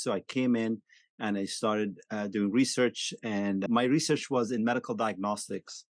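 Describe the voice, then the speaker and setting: A man's voice: fairly low pitch, no echo. A middle-aged man from Egypt, a guest pathologist on a video call, explaining earnestly and repeating words as he starts. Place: home office with bookshelves.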